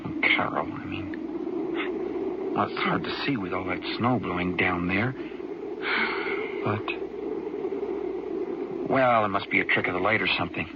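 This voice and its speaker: A man acting a low, gravelly, uneasy husband. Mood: puzzled, hushed. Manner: muttering, hesitant, halting. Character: uneasy husband woken at night, hushed and stubbornly curious. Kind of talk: horror audio drama acting. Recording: muffled, lo-fi, heavy background noise.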